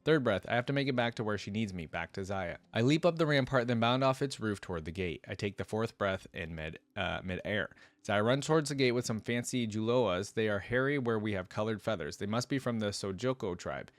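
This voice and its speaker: A man with a fairly low voice reads a story aloud as a reaction host. His delivery is informal and conversational, slipping between the text and his own casual remarks. The sound is very dry, with little room around it. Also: expressive, brisk, few pauses, dry recording.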